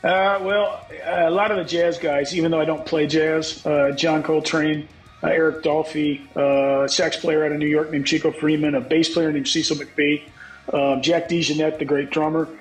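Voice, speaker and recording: A middle-aged songwriter from the United States with a scratchy voice, speaking frankly as a guest on a video call. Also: male voice, fairly high pitch, level tone, moderate pace, faint music behind. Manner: frank, reflective, swears casually. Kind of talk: candid interview talk. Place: home room, on a video call. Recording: echoey video call audio.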